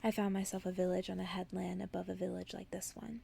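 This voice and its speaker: Soft, creaky-voiced woman reading ASMR. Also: fairly low pitch, flat delivery, fast, a little hiss or hum. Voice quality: soft, partly whispered. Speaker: ASMR narrator. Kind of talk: ASMR bedtime story reading.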